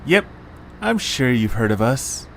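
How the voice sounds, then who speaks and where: A man voicing an easygoing, sensible young creature boy with animated, casual delivery in an amateur cartoon audio drama. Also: mid-range voice, measured pace, slight background noise.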